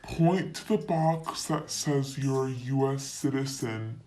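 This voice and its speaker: A young woman voicing a cold, suspicious older woman boss who questions her curtly and flatly. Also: very deep voice, slow pace, voice pushed down. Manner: flat, curt, distrustful questioning.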